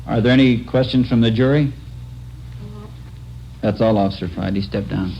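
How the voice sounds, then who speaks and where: An actor playing a dry, official coroner questioning in a formal, impersonal way in a 1950s radio drama. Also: male voice, fairly low pitch, normal speed, close to an everyday voice. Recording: muffled, noisy old radio recording.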